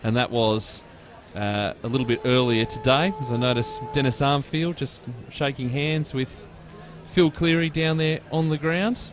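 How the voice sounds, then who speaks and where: A man commentating a live football broadcast. He talks in an easygoing, conversational way, pausing on fillers, at an ordinary middle pitch. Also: fairly even intonation.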